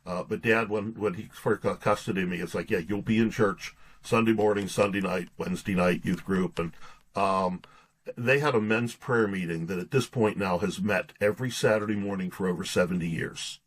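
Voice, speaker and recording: A middle-aged American man speaks in a fairly low, husky voice. He is an earnest interview guest who talks in anecdotes, voicing the dialogue as he goes. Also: moderate pace, rough recording. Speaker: interview guest, author and pastor. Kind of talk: conversational personal storytelling.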